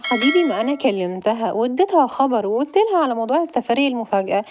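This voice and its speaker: A woman playing a busy, caring mother who gives her children quick instructions before she leaves. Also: a little high, sing-song, background noise. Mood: hurried.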